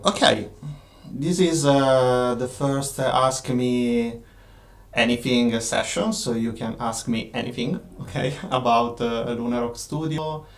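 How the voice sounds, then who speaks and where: Italian-accented man, slow-talking livestream host, halting and a little tired. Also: medium pitch. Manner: slow, halting, many filler pauses. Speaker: board game designer hosting a livestream Q&A. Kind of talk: casual livestream chat.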